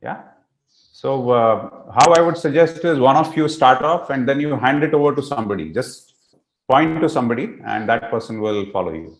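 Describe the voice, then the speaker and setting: A middle-aged man coaching a workshop group, talking in a relaxed way with many "uh" pauses, heard over a video call. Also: mid-range voice, roomy sound, rough recording. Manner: hesitant, frequent "uh" pauses. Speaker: executive coach leading a workshop. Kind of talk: relaxed teaching talk with many fillers.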